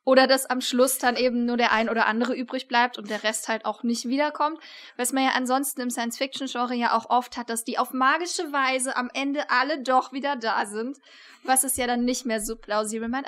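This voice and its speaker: Higher-pitched woman interviewing. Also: fairly quick, a bit bright. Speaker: interviewer, co-host. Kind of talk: conversational literary interview. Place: attic room, indoors. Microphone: shared desktop microphone on a video call.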